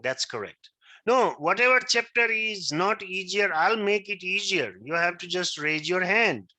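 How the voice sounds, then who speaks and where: Man, first language Maithili, teaching a class; fairly high, bright tone, keeps asking if he is right. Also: lively intonation, a little slow. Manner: keeps checking understanding, asks if he is right. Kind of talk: interactive class lecture.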